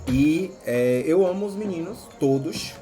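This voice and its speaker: A man's voice: fairly high pitch, animated, a little slow, over music. A man, introducing himself on a podcast in a playful, joking way. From Brazil. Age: 20s. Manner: playful, joking, laughs often. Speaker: podcast co-host. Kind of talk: casual chat among friends.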